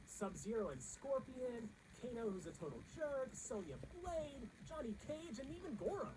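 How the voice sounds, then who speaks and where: A woman's voice: high voice, lively intonation, normal speed, very noisy. A woman narrating a scripted pop-culture countdown in an emphatic, slangy, wry manner. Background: music under the voice-over.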